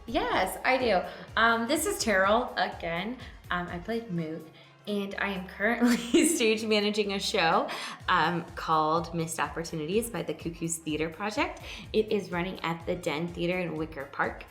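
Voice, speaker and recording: A woman's voice: medium pitch, lively intonation, normal speed, a bit bright, soft background music. A woman who acts and stage manages, chatting casually to podcast listeners as she makes a plug. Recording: roomy echo with faint noise.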